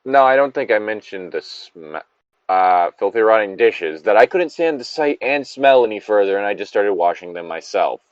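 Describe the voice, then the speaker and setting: A man's voice: on the low side, expressive, moderate pace, dry recording, highs cut off. A man chatting casually and reading aloud, swearing freely and rambling off on digressions. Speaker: podcast co-host reading a story aloud with commentary. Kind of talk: casual chat with story reading.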